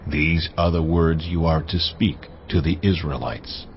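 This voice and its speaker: An actor playing God as a commanding, almighty male voice, speaking in a solemn and measured way in a dramatized Bible reading, with orchestral music behind. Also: a bass voice, a little hiss or hum. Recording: muffled audio under orchestral music.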